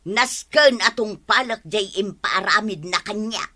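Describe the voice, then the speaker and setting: An actress playing a bossy, nagging village woman in a radio comedy drama, scolding in drawn-out exclamations with an Ilocano accent. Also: mid-range voice, sharp tone. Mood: defensive, complaining.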